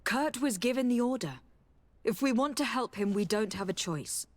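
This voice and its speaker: A woman's voice: fairly high pitch, expressive, normal speed, lightly acted. A woman voicing a poised, practical noble diplomat in a video game, formal and assured. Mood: composed.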